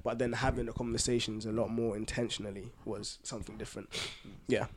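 A man's voice: on the low side, little pitch movement, quick pace, background noise. A man with a London accent talking casually as a podcast co-host, sharing personal anecdotes in an informal, slangy way.

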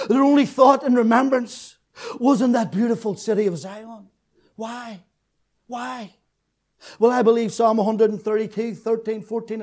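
A man preaching an impassioned sermon, repeating his phrases, swinging from shouted peaks to hushed, tearful lows. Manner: fervent, repetitive, rising to shouts then dropping low.

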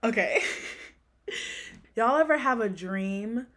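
A woman's voice: a little high, lively intonation, slow, fairly bright tone. Chatty young woman hosting a podcast. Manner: chatty, laid-back, laughs often. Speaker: podcast host. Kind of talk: casual chat.

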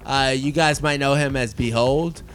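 A man's voice: medium pitch, unhurried. A man in his thirties hosting a casual chat podcast with friends, playful and joking. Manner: playful, animated, restarts his intro. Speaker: podcast host. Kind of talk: casual chat over drinks.

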